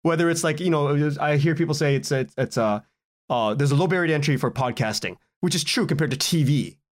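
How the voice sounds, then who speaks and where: Guy on a podcast interview, kinda high voice, chatty and informal, thinks out loud in stops and starts. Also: fairly quick. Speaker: guest, writer and podcaster. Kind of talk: casual interview chat.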